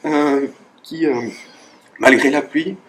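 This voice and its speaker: A man, a cancer researcher, giving a halting speech of thanks at a charity event, with many pauses and fillers. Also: fairly high pitch, fairly even intonation, fairly bright tone, slight background noise, rough recording. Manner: hesitant, many fillers and restarts.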